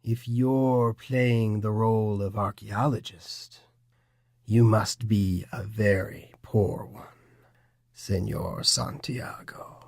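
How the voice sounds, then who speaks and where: A man playing a cold, formal villainous interrogator, slow and deliberate in an audiobook drama. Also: fairly low pitch, steady tone, darkish tone, dry recording, clean studio sound. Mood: menacing. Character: menacing male Illuminati interrogator, formal and cold. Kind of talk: full-cast audiobook acting.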